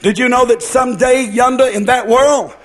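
A man's voice: extremely high pitch, steady tone, moderate pace, fairly bright tone. A man preaching a fervent revivalist sermon in a declamatory, sing-song cadence, repeating phrases and putting rhetorical questions to his listeners.

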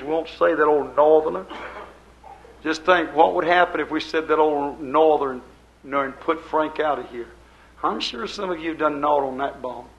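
A man's voice: a little high, vocal fry, muffled recording. An older man preaching a sermon to his congregation in a folksy, rambling way, repeating his phrases. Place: church service before a congregation.

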